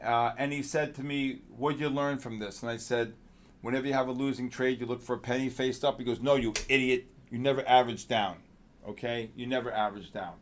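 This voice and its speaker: Gravelly-voiced New York trading host. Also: male voice, medium pitch, fairly even intonation, a little hiss or hum. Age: middle-aged. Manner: rambling, jokey, shouts out viewers by name; drawn-out announcer-style calls. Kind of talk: casual live market chat.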